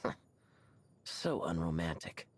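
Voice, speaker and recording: A man's voice: low voice, sing-song, slow, husky, heavily acted. An actor playing a suave, theatrical, haughty man who savors fine wine in a video game. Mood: disdainful, savoring. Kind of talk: video game character voice lines.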